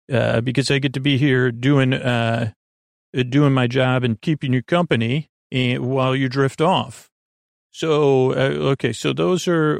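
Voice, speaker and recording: A man with a creaky voice hosting a bedtime podcast in a meandering, halting monologue that keeps interrupting and repeating itself. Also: mid-range voice, no room sound, clean studio sound. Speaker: podcast host.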